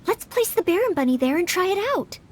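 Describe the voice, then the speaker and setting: A woman's voice: very high-pitched, animated, fast talker. A woman voicing an earnest, polite, self-doubting young maid who hopes to be a knight in an anime-style video game, speaking with careful diction. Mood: unsure.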